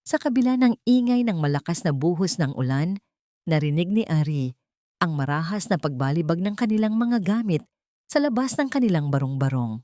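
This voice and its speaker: Low-voiced woman narrating drama, dry sound. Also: expressive, normal speed, dark tone, no echo.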